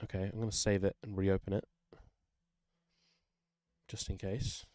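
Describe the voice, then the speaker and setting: A man thinking aloud in a casual, self-deprecating, sweary way as he works through a music software session. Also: low-pitched, flat delivery, unhurried, dry recording.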